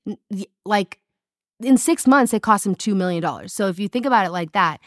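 South African woman, podcast co-host, animated storyteller, mid pitch, dry close sound. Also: brisk, dry recording. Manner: giggly, animated storytelling with silly voices. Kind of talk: casual chat, reading history notes.

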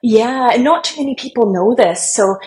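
A woman's voice: on the high side, animated, normal speed, darkish tone, slight background noise. A woman podcast guest, born in Germany and raised in Canada, who answers in a friendly, chatty way and laughs easily. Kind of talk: conversational interview answers.

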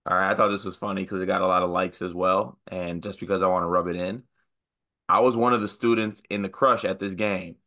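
A man's voice: low voice, fairly even intonation, fairly quick, bright, forceful tone. A man co-hosting a casual sports podcast, stuffed up with the sniffles, sounding nasal. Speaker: podcast co-host.

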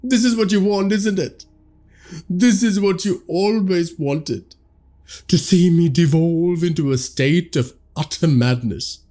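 A man's voice: sits high, takes it slowly, mellow, pitched above the performer's own voice. A man voicing a terrified young man losing his sanity, wailing and frantic. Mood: bitter.

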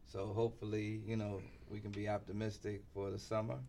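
A middle-aged man speaking as a guest in a sports interview, often pausing mid-thought. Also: lowish voice, completely flat delivery, mellow, slight background noise. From New York City, United States. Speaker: interview guest, basketball team insider and former coach.

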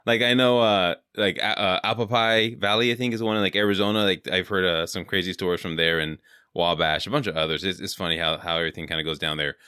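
A man's voice: sits low, steady tone, fast, bright, forceful tone, smooth, no room sound, clean studio sound. A young man from California hosting a podcast, chatting casually and rambling with lots of filler words. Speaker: podcast host. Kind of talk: casual interview chat.

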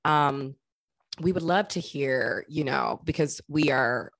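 Low-pitched woman, playful co-host. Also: slow pace, no echo. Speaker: podcast co-host, interviewer. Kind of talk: casual chat.

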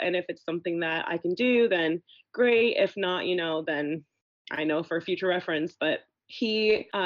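A woman's voice: lowish voice, no echo, highs cut off. A woman in her thirties from Venezuela, chatting casually as a podcast guest and filling her talk with "like" and "you know.". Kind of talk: casual conversation.

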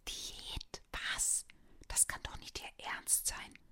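A woman whispers a chubby boy pony from a children's storybook, stubborn, hungry and full of himself. His lines come as a bright, breathy whisper. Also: slow pace. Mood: outraged.

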